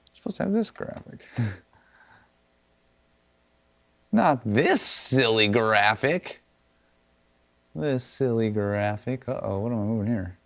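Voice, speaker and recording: A man's voice: fairly low pitch, animated, a little slow, creaky, fairly bright tone. A man hosting a live music stream, chatting casually and joking, who drifts into nonsense scat syllables. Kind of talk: casual chat with scat singing.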